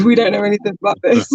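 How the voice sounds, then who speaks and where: A woman's voice: medium pitch, a little mellow, slightly rough, no echo, muffled recording. A woman singer-songwriter chatting as an interview guest, talkative with lots of fillers and easy laughter. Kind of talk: casual interview chat.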